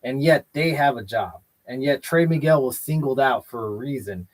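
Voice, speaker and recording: A man hosts a laid-back wrestling talk show, his voice sitting right in the middle of the range. He argues his points bluntly and with strong opinions. He keeps softening them with "I feel like" as he goes. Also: medium pitch, expressive, normal speed, rough recording. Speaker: podcast host. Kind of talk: casual wrestling chat show.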